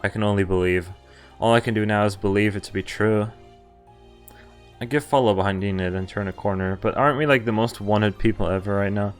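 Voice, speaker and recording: A man with a fairly low voice narrates a visual novel as he streams it. He slips between reading the on-screen text and commenting casually in his own words, with faint music playing behind him. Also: fairly even intonation, fairly quick. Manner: reacts with asides between read lines. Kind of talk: reading game text aloud with casual reactions.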